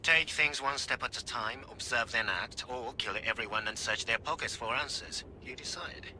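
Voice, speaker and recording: A calm former gangster in a game cutscene, speaking in terse, dry, measured lines. His voice is notably bright and sits at a middle pitch. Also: slight background noise. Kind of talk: video game cutscene acting.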